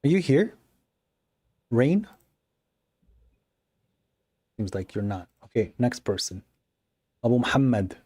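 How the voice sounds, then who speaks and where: A man hosting a live call-in religious debate on a phone stream, explaining his points earnestly and briskly. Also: medium pitch, big pitch swings, warm tone, husky. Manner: earnest, explanatory, quick to dismiss callers. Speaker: livestream host debating callers. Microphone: phone livestream.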